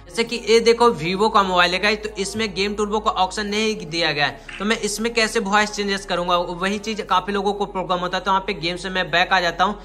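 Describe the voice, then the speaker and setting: A man's voice: high voice, sharp tone. A young man narrating a step-by-step tutorial, addressing viewers directly and repeating himself. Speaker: tech tutorial YouTuber.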